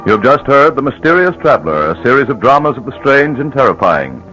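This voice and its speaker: A man's voice: medium pitch, very animated, a little fast, a bit bright. A man announcing in a scripted 1940s radio style as he closes out a broadcast. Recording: dull old radio broadcast recording. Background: music.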